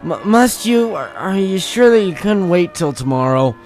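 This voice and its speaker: A man's voice: high voice, lively intonation, moderate pace, a little mellow. Man playing a hardboiled, weary private eye over music. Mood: groggy, irritated. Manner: wisecracking, theatrical noir delivery. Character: world-weary hardboiled male private detective narrator, ex-Marine. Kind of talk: old-time radio detective drama acting. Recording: music behind the voice.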